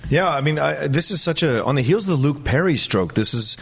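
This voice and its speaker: A man's voice: a little high, expressive, brisk, creaky, fairly bright tone, breathy edge. A man giving conversational film commentary as a talk show panelist, emphatic and opinionated as he rambles through his thoughts.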